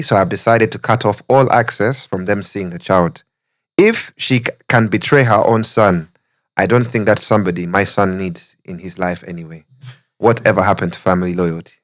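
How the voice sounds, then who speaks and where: A man hosting a podcast, chatting casually and joking, then reading out a listener's letter. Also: lowish voice. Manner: relaxed, jokey, laughs easily. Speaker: podcast host.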